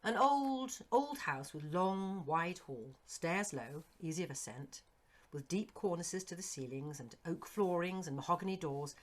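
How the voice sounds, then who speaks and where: A woman reading a Victorian horror story as scripted narration, in an expressive, literary storytelling manner. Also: fairly low pitch, unhurried. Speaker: narrator, audiobook reader.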